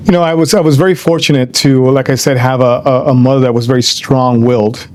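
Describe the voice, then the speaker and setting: A man's voice: mid-range voice, steady tone, fast talker, a little mellow. An older man from Ecuador, interviewed as a guest, speaking reflectively with many fillers and restarts and dropping in Spanish phrases.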